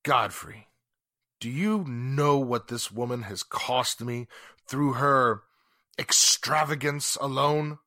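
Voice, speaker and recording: A man's voice: medium pitch, takes it slowly, sharp tone, dry recording, studio quality. An actor playing a haughty, sarcastic, indignant businessman in 1940s radio drama style. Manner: pompous, formal, sarcastic. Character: haughty, indignant wealthy businessman under police questioning.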